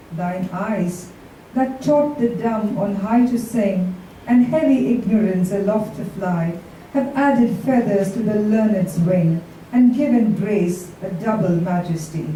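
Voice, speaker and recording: A woman reading poetry aloud with short casual introductions, in a deliberate, drawn-out delivery. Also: medium pitch, warm tone. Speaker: poetry reader. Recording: echoey room, distant mic, faint noise.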